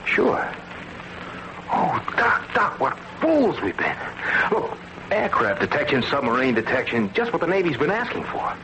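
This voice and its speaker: An American actor playing an excitable, eager young navy scientist in an old-time radio drama. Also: male voice, a little high, a little slow. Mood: eager realization. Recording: muffled, noisy old radio recording.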